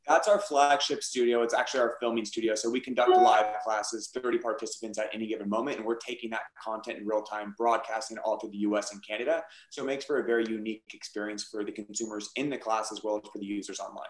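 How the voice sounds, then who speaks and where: A man, a fitness studio founder, answering interview questions in a fast, energetic, salesy way. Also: echoey room, rough recording. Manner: fast-talking, energetic pitch.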